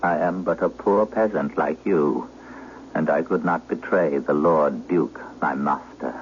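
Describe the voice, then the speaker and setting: An actor playing a frail, dying old shepherd, speaking in a weary, solemn rustic manner in an old radio drama. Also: male voice, very deep voice, a little slow. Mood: confessing, failing. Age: elderly. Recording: dull vintage radio, faint hiss.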